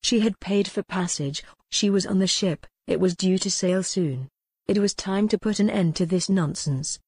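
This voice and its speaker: Female narrator for a historical romance audiobook: medium pitch, scripted read, close dry sound. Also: moderate pace, a little mellow, husky, no echo.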